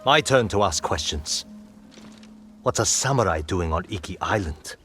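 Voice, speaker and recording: An actor playing a wary, hard-bitten island raider leader in a video game, with a rough, husky voice and a blunt, challenging manner. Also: male voice, low voice, lively intonation, normal speed, a bit bright, faint music behind. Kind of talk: dramatic video game cutscene acting.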